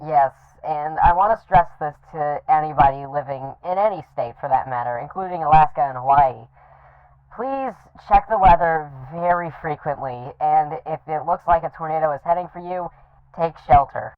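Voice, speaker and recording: A woman playing an earnest storm reporter giving deliberate safety warnings in a news interview over the phone. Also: low voice, clear, resonant tone, no echo. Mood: earnest, cautioning. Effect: phone line filter. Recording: tinny, dry phone line.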